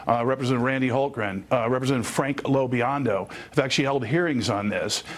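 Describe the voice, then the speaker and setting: Man, medium pitch, lawyer as interview guest, measured, matter-of-fact, explanatory.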